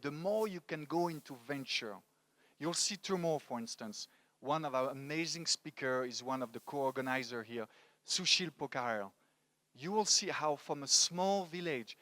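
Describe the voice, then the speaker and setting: A man in his forties from France, with a French accent, giving a motivational talk into a handheld microphone in a halting, emphatic way. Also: fairly high pitch, bright, forceful tone, dry recording. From France, grew up in Paris. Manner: halting, emphatic, repeats words for stress. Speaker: conference speaker, storytelling coach. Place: indoor stage at a conference.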